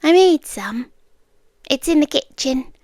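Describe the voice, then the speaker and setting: High, unhurried British woman acting an obsessive stalker girlfriend. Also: expressive, a little mellow, dry recording, studio quality, heavily acted. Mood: soft, coaxing. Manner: measured, deliberate phrasing, often dropping into whispers. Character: obsessive, eerily calm stalker girlfriend, softly possessive. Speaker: ASMR roleplay performer. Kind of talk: ASMR roleplay, intimate monologue to the listener. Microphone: close dry microphone.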